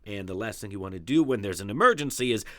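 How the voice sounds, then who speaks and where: A man's voice: on the low side, expressive, fast, pushed and bright, no echo. A man playing an earnest, pompous superhero who is easily flustered, in a comedic audio serial. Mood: pompous, confident. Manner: pompous, dramatic. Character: earnest, self-important but easily flustered male superhero.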